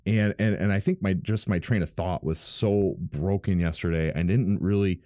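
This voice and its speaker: A man with a very low, smooth voice hosts his church podcast from a home studio. His tone is dark and mellow, and the close, dry mic leaves no room sound. He talks frankly and rambles a little, often restarting his sentences. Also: steady tone, normal speed, dry recording. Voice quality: fairly deep, smooth voice. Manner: rambling, frank, self-correcting with many restarts. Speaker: pastor and podcast host. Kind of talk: casual podcast monologue. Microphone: studio microphone.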